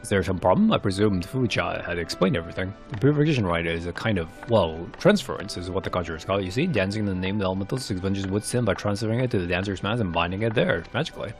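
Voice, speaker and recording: A man's voice: low-pitched, animated, racing, few pauses, faint music behind. A man voicing a polite, apologetic shrine keeper in narrated fantasy game dialogue, speaking formally and a little hesitantly. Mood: polite, explaining. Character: courteous, apologetic male mask keeper at a forest shrine.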